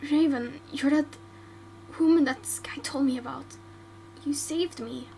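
A frightened young bandit girl who stammers and pleads in a panic, as a woman voices her. High-pitched and slow, over a faint hum. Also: vocal fry, warm tone, poor audio. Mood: confused. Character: frightened young female bandit, suddenly blind.

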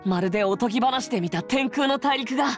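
A man's voice: very high voice, animated, fairly quick. A man playing a shy, earnest teenage boy in an anime visual novel game, speaking hesitantly and politely with an eager manner. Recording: background music under the voice.

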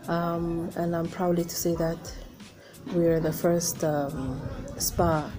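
A young woman who owns a spa, speaking to camera outdoors, relaxed and often pausing with fillers. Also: on the low side, a crowd in the background. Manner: relaxed, hesitant with many fillers. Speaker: spa owner. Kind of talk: interview to camera. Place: outdoors in a garden.

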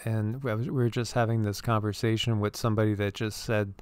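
A man's voice: fairly low pitch, level tone, fairly quick, a little mellow, clean tone, no echo. A man co-hosting a casual spiritual commentary show, talking in a rambling, earnest way and often repeating words. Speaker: co-host.

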